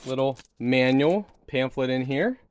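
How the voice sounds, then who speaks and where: A man's voice: mid-range voice, a little slow. A man giving a casual product unboxing and demo in an easygoing, approving, informal way. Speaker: product reviewer. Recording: noticeable background noise with handling clicks.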